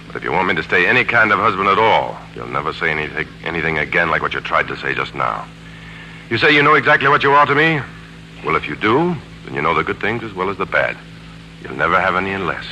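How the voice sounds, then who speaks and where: A man's voice: low voice, pushed and bright, a little hiss or hum, exaggerated voice, poor audio. A male actor playing a self-assured, cajoling married lawyer in 1940s American radio drama, glib and commanding. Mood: stern, then placating. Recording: vintage 1940s radio broadcast with music.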